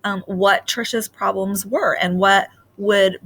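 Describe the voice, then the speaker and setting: A woman's voice: medium pitch, steady tone, slow, fairly bright tone. A woman reading a children's story aloud as a storybook narrator. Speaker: storybook reader.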